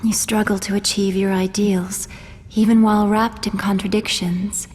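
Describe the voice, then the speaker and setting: A serene immortal woman acted by a woman, her voice husky and breathy in a long, ringing hall. She speaks gently and formally, like a wise counselor in a fantasy game drama. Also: medium pitch, level tone, normal speed, close to an everyday voice. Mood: calm, reflective. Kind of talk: dramatic game cutscene acting.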